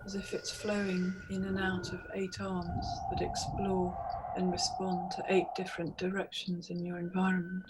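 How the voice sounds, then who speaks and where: Woman guiding meditation in a flat monotone. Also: fairly low pitch, heavy background noise. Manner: measured, hypnotic, deliberate phrasing with pauses. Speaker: meditation guide. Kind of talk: guided meditation, scripted narration.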